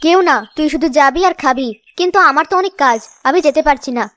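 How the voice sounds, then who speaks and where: A woman's voice: sits high, quick pace, a bit bright, no room sound. A woman voicing a scheming, irritable village sister-in-law in a cartoon, bossy and slyly coaxing. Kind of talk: cartoon story voice acting.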